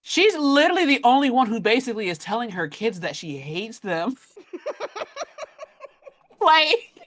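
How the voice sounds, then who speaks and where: Woman, highish animated voice, slangy, amused, casual group chat, faint background noise. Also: normal speed.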